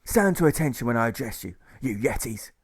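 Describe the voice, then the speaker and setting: A man's voice: medium pitch, lively intonation, brisk, slightly rough. A man voicing a domineering warrant officer who barks orders. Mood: barking. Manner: barking, domineering. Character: bullying male warrant officer, shouting.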